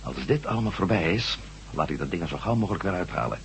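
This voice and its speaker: A man's voice: low voice, lively intonation, fast talker, creaky, slight background noise. A man playing a weary, wry, commanding ex-astronaut adventurer in a radio drama, brusque and sardonic. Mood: wry, teasing.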